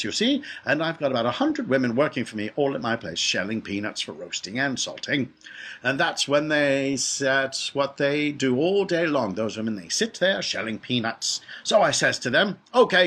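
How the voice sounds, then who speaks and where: Man playing bragging rich storybook father, doting, folksy, emphatic, lifted lively pitch, husky. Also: full character voice. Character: boastful, doting rich father, a peanut factory owner. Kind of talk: animated storybook character voice.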